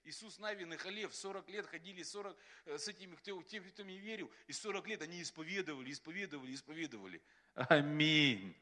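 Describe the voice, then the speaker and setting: A man preaching a sermon as pastor, animated and acting out quotes, often laughing. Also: on the high side, quick pace. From Russia.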